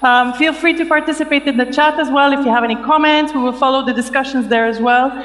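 A woman's voice: high-pitched, steady tone, moderate pace, a bit bright. A middle-aged woman presenting a live online event cheerfully as host, on a headset mic. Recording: echoey event room.

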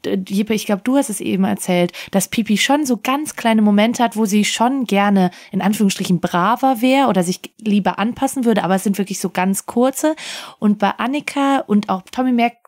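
A woman talking casually as a podcast panelist in a relaxed group discussion. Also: mid-range voice, moderate pace.

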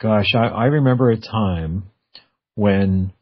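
Low, husky male radio host. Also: slow. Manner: informal, conversational. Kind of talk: casual interview. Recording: narrowband phone-like radio sound.

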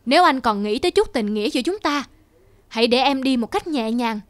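A woman playing a caring, quietly resolute wife in a cartoon drama, gentle and measured. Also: high-pitched, expressive. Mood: sad, resolute.

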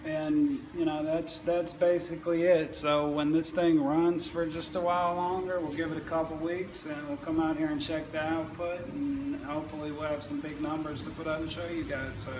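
A man in his forties giving an informal, halting, matter-of-fact walkthrough of equipment he installs. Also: a little high, level tone. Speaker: wind power equipment installer. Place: indoors in a utility room or garage, on camera. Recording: echoey room, faint background noise.

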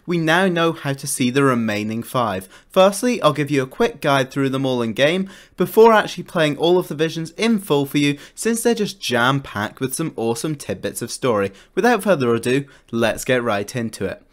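A man's voice: on the high side, expressive, normal speed, hardly any pauses. A man narrating a scripted video game guide in an informal, enthusiastic way, like a YouTuber. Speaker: gaming YouTuber.

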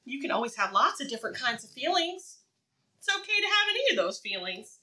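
High, animated woman preschool teacher. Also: recorded from a distance. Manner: animated, encouraging, asks questions and pauses for answers; simple repetitive child-directed phrasing. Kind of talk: read-aloud lesson for young children.

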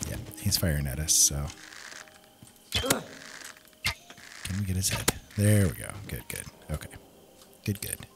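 A man's voice: sits low, big pitch swings, a little slow, pauses often. A man giving casual commentary over a video game playthrough, easygoing and thinking aloud as he plays. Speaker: gaming video host. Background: game soundtrack music.

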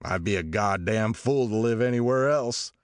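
A man's voice: lowish voice, moderate pace, no room sound, studio quality, lightly acted. A man doing a blunt, domineering rich husband. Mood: brusque. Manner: blunt, loud, decisive. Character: brash, domineering wealthy young husband.

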